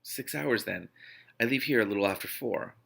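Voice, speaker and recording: A man's voice: fairly low pitch, normal speed, vocal fry, fairly bright tone, husky, exaggerated voice. A man voicing a blunt, easily flustered young heroine in a novel reading, informal and hesitant. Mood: baffled.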